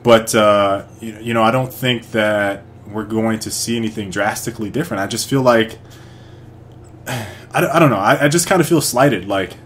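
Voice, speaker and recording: A man's voice: lowish voice, normal speed, a bit bright, slight background noise. A man hosting a casual online voice chat, talking hesitantly with fillers about a game. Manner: hesitant, many fillers and restarts. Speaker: stream host. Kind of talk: casual group chat over voice call.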